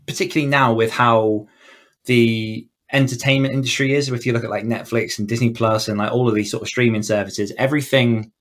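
A man's voice: medium pitch, a bit bright. A man speaking as a guest author and writing coach, explaining things conversationally and often rephrasing as he goes.

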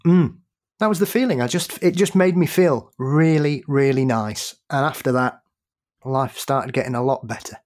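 A man appearing as a podcast guest, telling a personal story in an earnest, halting, measured way. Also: mid-range voice, darkish tone. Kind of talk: casual conversation.

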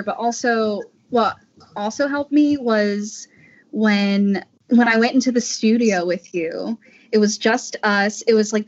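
A woman talks as a voice actor in a relaxed group discussion. Her voice has a husky grain and her way of speaking is reflective. The recording is a little muffled. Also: on the high side. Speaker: voice actor discussing an audio drama. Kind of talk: casual panel discussion.

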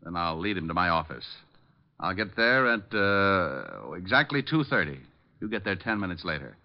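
Smooth-talking private detective, fairly low pitch, wry, self-assured, vintage radio drama, dull sound. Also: male voice, big pitch swings, normal speed. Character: suave, quick-witted male private detective.

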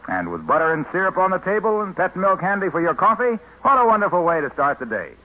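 A man's voice: sits high, big pitch swings, quick pace, lo-fi sound. An American man announcing an old-time radio show, with a polished salesman's delivery in vintage broadcast style. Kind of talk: 1940s-50s radio announcing and sponsor pitch.

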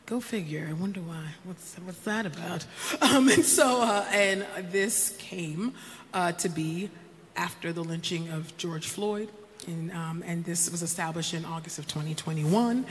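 A woman's voice: lowish voice, unhurried. A woman speaking as a panelist, conversational with wry asides. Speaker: panelist and advocate. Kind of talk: panel discussion. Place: conference hall stage. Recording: echoey hall, faint noise.

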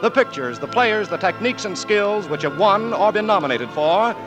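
A man's voice: high-pitched, expressive, normal speed. A man announcing a 1940s radio show in a formal, emphatic manner. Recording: 1940s radio broadcast with music.

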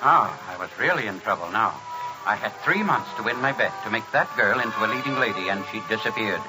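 An actor playing a smooth-talking, scheming Broadway producer who tells his story theatrically in old-time radio drama style. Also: fairly low pitch, animated, fast talker, loud music behind the voice, lightly acted, rough recording. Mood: wry storytelling. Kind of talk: old-time radio drama acting with first-person narration.

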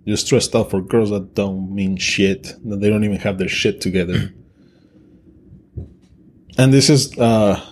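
A man's voice: low-pitched, a little mellow, slight background noise. A man co-hosting a podcast, talking bluntly and crudely in casual chat, joking with his co-host. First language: Spanish.

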